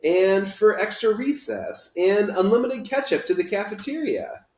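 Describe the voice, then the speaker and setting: A man reading a children's novel aloud, voicing eager, cheeky schoolboys who blurt things out excitedly. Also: high voice, a little slow, pitched above the performer's own voice. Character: eager, cheeky schoolboys peppering their teacher. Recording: echoey room.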